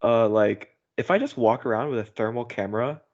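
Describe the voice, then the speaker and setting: A man's voice: medium pitch, a little fast. A young man chatting casually and in a rambling, giggly way as a podcast host on a voice call. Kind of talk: casual chat. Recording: dull, lo-fi call audio.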